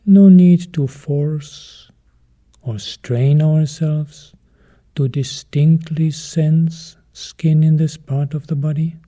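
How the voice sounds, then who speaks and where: A man leading a guided meditation as a teacher, calm and measured, in short phrases with pauses between them. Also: a little high.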